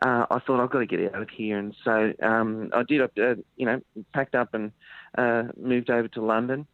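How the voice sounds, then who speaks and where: A man's voice: mid-range voice, steady tone, normal speed, no room sound. An Australian man from Sydney calls in as a musician guest and talks in loose, meandering bursts, often stalling on ums and restarts. His voice sits mid-range for a man. It comes through a narrow, tinny phone line with a dry sound. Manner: rambling, hesitant, lots of ums. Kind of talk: phone-in radio interview.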